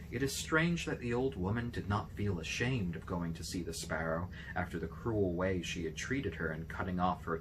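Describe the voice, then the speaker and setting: A man reading a fairy tale aloud as an expressive narrator. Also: deep voice, background noise. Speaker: storybook reader.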